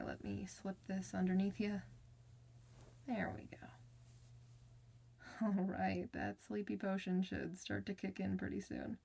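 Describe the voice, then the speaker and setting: A woman playing a gentle, reassuring clinician in an ASMR medical roleplay, soft-spoken and soothing, sometimes slipping toward a whisper. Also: medium pitch, vocal fry. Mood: cozy, soothing.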